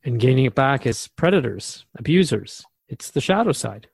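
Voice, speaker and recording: A man's voice: mid-range voice, sing-song, moderate pace, creaky, husky, no echo, clean studio sound. A man as a radio host, asking questions and thinking aloud in scripted drama dialogue.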